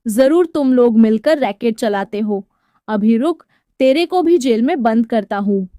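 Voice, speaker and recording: A woman's voice: fairly high pitch, expressive, unhurried, mellow, no room sound, clean studio sound. A woman storyteller playing a stern, blunt policeman who accuses and threatens in a story. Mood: threatening.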